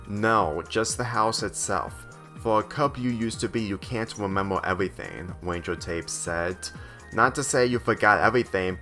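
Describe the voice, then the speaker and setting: A man's voice: fairly low pitch, bright, forceful tone. A man reading a scripted story aloud, narrating and voicing the dialogue lines himself. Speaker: fan fiction reader.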